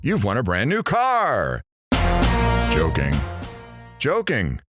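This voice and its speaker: A man's voice: fairly low pitch, wildly swooping pitch, slow, over loud music, heavily acted. A man playing a wisecracking radio DJ in an audio drama, joking and sarcastic. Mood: snarky. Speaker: radio talk show host.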